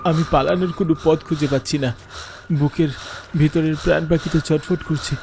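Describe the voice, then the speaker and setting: A man's voice: on the high side, steady tone, a little fast, vocal fry, darkish tone, slight background noise. A man playing a frightened schoolteacher who tells his ghost story in the first person, with dramatic delivery, for a horror cartoon. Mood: terrified. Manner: dramatic, fearful, pleading when in dialogue. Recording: music bed under the voice. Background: music.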